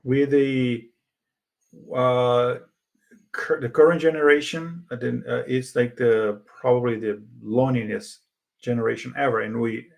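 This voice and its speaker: Gravelly-voiced man speaking Portuguese natively. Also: medium pitch, fairly even intonation, unhurried. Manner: hesitant, many fillers and restarts. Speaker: podcast co-host. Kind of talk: casual conversation.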